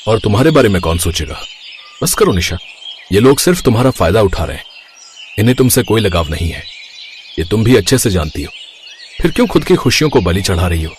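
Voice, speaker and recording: Guy playing a devoted young boyfriend in a cartoon, lowish voice, earnest, faint background sound. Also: very animated, fairly quick, pauses often, slight background noise. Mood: frustrated, pleading. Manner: earnest, urging. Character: concerned, devoted young suitor, frank and protective. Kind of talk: Hindi cartoon moral story acting.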